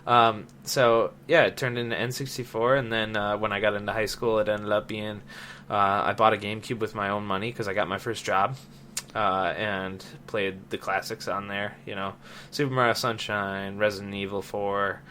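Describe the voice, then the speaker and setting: Male podcast host, late 20s, lowish bright voice, casual conversational delivery, slight background noise. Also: flat delivery, moderate pace. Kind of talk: casual chat about gaming.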